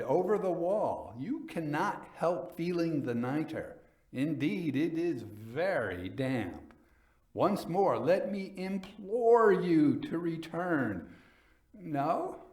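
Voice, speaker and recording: A man giving a dramatic reading as a coldly vengeful nobleman recounting his murder in a gothic tale, theatrical, deliberate and emphatic. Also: on the high side, a little mellow, echoey room. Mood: mock concern.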